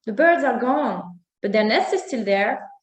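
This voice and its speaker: A woman's voice: on the high side, lively intonation, unhurried, echoey room. A woman voicing an eager, bold young boy in a storybook myth.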